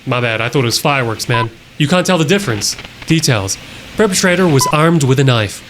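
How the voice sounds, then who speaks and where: A man's voice: mid-range voice, expressive, brisk, noisy background. A man reading text aloud and adding casual, wry commentary as a horror reaction host. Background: music behind the voice.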